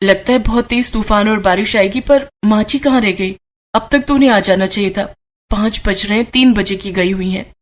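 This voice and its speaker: A woman voicing a young Indian housewife and mother in a cartoon story, caring but harried, with a brisk, nagging manner. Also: mid-range voice, background noise, lightly acted. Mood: worried. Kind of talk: Hindi cartoon moral story acting.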